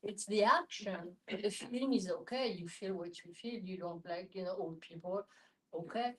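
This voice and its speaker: A woman's voice: mid-range voice, normal speed, a bit bright, low-quality recording. A woman in a candid group discussion, blunt and emphatic, with broken phrasing. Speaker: discussion participant.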